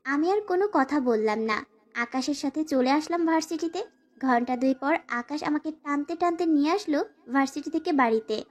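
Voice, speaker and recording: A woman's voice: high voice, moderate pace, close to an everyday voice. A woman voicing a timid, sorrowful young wife who narrates a dramatized romantic story and pleads submissively. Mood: sorrowful narration. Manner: formal, submissive address to her husband.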